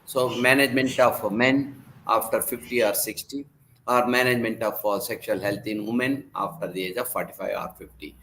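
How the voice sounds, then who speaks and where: A hoarse man gives a medical lecture over a video call, his low voice pausing often on fillers and restarts. A faint hiss of noise sits under the talk. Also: steady tone, fairly bright tone, low-quality recording. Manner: halting, many fillers and restarts. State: hoarse from laryngitis. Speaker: doctor giving a lecture.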